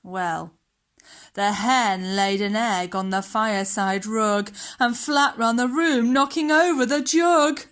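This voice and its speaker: A woman reading a rhyming children's story aloud as a storyteller, expressive, sing-song and dramatic. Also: a little high, slow pace.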